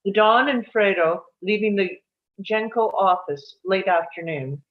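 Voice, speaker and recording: A woman narrates scene directions from a script. Her voice sits at a middle pitch, and the recording is slightly dull and lo-fi. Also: a little slow. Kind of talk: scripted narration at a table read.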